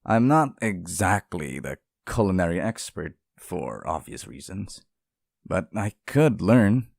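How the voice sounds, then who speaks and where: A devoted demon, acted by a man, speaks slowly and intimately in a low male voice for an ASMR roleplay. He is sweet and protective, yet possessive and faintly menacing. The sound is close and dry. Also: very animated, creaky, darkish tone, dry recording, heavily acted. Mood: doting, eager.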